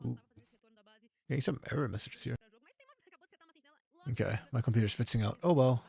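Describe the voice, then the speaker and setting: Slightly hoarse man analyzing a game. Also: mid-range voice, normal speed, darkish tone. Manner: thinks aloud, restarts mid-sentence. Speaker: esports caster doing a solo VOD review. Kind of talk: casual solo game analysis commentary.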